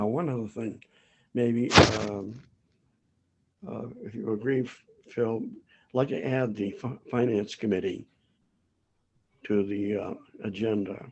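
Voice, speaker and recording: A hoarse man chairing a town board meeting, speaking haltingly with many pauses and restarts. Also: fairly low pitch, lo-fi sound, a little hiss or hum.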